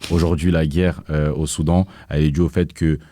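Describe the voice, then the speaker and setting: A man's voice: a bass voice, flat delivery, brisk, warm tone, no echo, studio quality. A young man talking casually in an interview, hesitant, with many fillers and restarts. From France. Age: young adult. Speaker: guest, activist.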